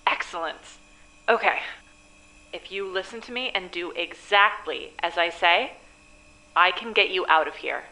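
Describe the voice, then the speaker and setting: An actress playing a confident young woman rescuer who gives lively commands. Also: lowish voice, measured pace, long pauses, rough recording. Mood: commanding.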